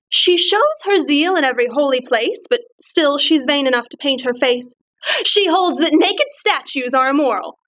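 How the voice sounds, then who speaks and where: Actress as a sardonic society lady, arch, mock-courteous, high voice. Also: fairly bright tone, poor audio. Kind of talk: classical verse monologue.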